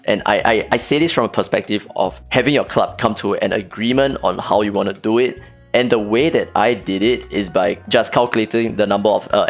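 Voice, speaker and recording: A young man with a hoarse, worn-out voice, casually walking through game strategy numbers on a spreadsheet as a channel host. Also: lowish voice. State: hoarse, losing his voice.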